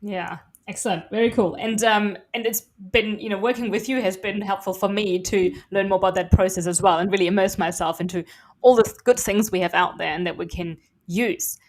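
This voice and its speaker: A woman from Germany, moderating a live-stream panel in a brisk, encouraging way over a video call. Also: mid-range voice, fairly even intonation.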